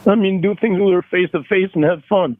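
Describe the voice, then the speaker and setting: A tearful, upset man in an emotional private call on a headset, talking haltingly and feeling sorry for himself. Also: high-pitched. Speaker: caller. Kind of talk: emotional private phone conversation. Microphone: headset on a recorded call. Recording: dull, narrow phone call audio.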